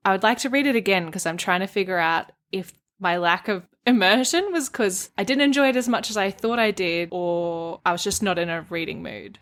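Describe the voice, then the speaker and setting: A woman's voice: mid-range voice, a little fast, hardly any pauses, a bit bright. A woman co-hosting a book podcast, chatting casually in a giggly, talkative way.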